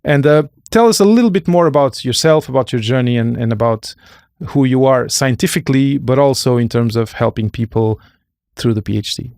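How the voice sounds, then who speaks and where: A man hosting a conversational podcast interview, often halting and rephrasing as he goes. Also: mid-range voice, a little mellow, no echo, studio quality. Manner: halting, rephrases and repeats phrases. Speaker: podcast host.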